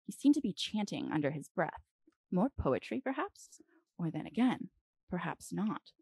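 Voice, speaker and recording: A woman reading a children's storybook aloud dramatically, with deliberate phrasing and expressive pauses. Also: on the low side, creaky, slightly rough, no echo.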